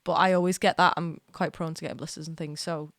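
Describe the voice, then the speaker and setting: A woman's voice: deep voice, fast talker, dry recording, studio quality. Chatty woman with a cold. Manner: chatty, rambling, excitable, with many restarts. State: has a cold, slight cough. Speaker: streamer. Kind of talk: casual live-stream chat. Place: at home.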